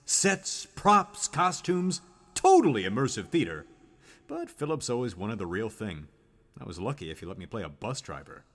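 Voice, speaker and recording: A man's voice: medium pitch, huge pitch swings, normal speed, fairly bright tone, long reverb tail, heavily acted. A man playing a vain, theatrical actor turned spy with a grandiose manner in a comedy audio drama. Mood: rapturous.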